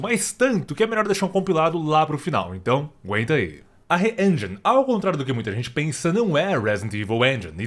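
A man with a Brazilian accent doing sarcastic, slangy, mock-indignant comedic commentary on a video game. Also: on the high side. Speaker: gaming video narrator.

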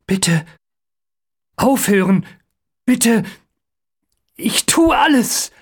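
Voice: medium pitch, moderate pace, close to an everyday voice. A narrator voicing a terrified captive man in an audiobook, begging urgently and crying for help.

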